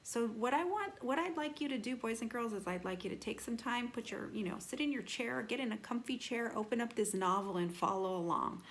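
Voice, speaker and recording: A woman reading a children's novel aloud like a teacher, animated, with deliberate emphasis and dramatic slowing on key phrases. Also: a little high, slight background noise. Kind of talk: expressive children's read-aloud.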